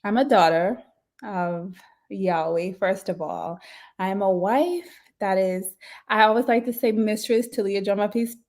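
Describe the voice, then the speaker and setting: A woman, a podcast guest telling her life story in lively casual chat and laughing often. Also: medium pitch, measured pace. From Bahamas.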